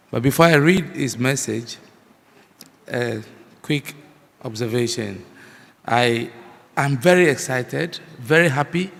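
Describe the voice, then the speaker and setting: A man's voice: medium pitch, vocal fry. A man, a lecturer giving an enthusiastic, effusive formal address. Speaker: lecturer and guest speaker. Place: large hall at a youth parliament. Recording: reverberant hall.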